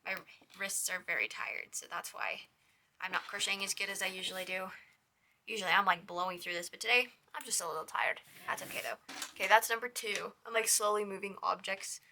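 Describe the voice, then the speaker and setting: A young woman with a hoarse, raspy voice hosts a casual crochet tutorial. She chats with a lot of "like" and counts her stitches in a soft whisper. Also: mid-range voice, steady tone, brisk, a little hiss or hum. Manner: chatty, with lots of "like".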